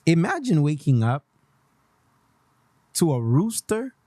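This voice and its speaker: A man's voice: a little high, sing-song, slow pace, warm tone, dry recording, studio quality. A young man from Haiti, hosting a radio show in a casual, passionate way, switching between English and Creole and repeating himself for emphasis. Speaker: radio host.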